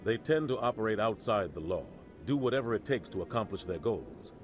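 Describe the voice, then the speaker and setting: An actor voicing a seasoned, pragmatic military officer in a video game, explaining with measured authority. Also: male voice, on the low side, sing-song, music in the background. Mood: grave, measured. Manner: matter-of-fact, authoritative explaining.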